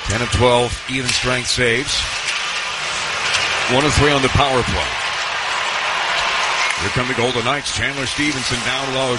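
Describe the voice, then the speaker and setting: A man with a North American accent calling live ice hockey play-by-play on the radio, in clipped running description with dry asides. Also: medium pitch. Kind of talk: live sports play-by-play commentary. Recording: live sports radio broadcast, loud arena noise. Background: loud arena ambience.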